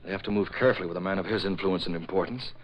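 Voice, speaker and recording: An actor playing a resolute, shrewd gentleman vigilante in a 1940s radio drama, with an assured, confiding manner. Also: low voice, rapid-fire. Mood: thoughtful. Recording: faintly noisy old radio recording.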